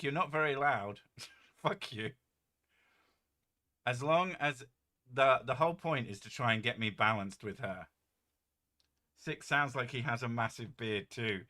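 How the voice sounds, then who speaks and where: A man with a deep, boomy voice hosting a casual stream, joking and rambling with a few curses, his mic set well above his head. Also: bright, forceful tone. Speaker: streamer host. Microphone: desk mic set well above his head.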